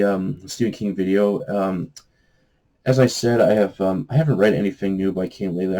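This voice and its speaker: A man with a fairly low, dark and relaxed voice talks casually like a hobby book vlogger. He rambles along in a halting way, often searching for the right word and letting sentences trail off.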